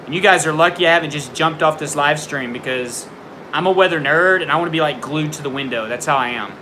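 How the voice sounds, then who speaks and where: A man's voice: on the high side, fast, background noise. A young man hosting a casual livestream from home, giving frank tough-love advice with lots of fillers, and jumpy at the storm outside. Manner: jumpy, startled by thunder. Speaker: livestream host answering viewer questions. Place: indoors at home near a window during a storm.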